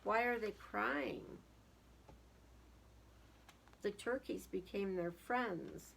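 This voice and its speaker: A woman's voice: mid-range voice, extremely slow, vocal fry, fairly bright tone, slight background noise. A woman reading a picture book aloud to young children, dramatic in the story and casual in asides that put questions to the listeners.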